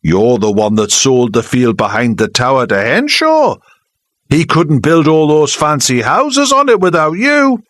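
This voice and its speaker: Big loud mocking village mechanic, taunting, raised pitch, animated, as a narrator puts him on. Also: male voice, measured pace, no echo. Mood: sneering, taunting. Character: big sneering male village mechanic, showing off.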